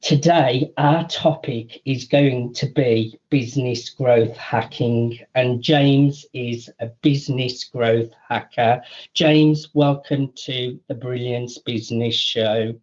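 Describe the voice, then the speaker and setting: A man hosting a radio interview show, slow and deliberate, praising his guest warmly. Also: medium pitch, steady tone, dull audio.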